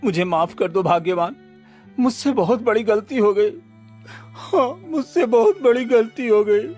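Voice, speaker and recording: A man's voice: sits high, lively intonation, unhurried, a little mellow, faint music behind. A man playing a greedy, scheming food-cart husband in a Hindi cartoon story, with a fawning, pleading manner. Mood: remorseful wailing.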